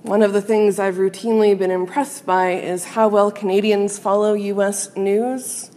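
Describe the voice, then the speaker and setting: A woman's voice: mid-range voice, little pitch movement, dark tone. Earnest woman preaching in a reverberant hall. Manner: measured, earnest, reflective with pauses. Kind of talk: sermon. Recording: long reverb of a large hall.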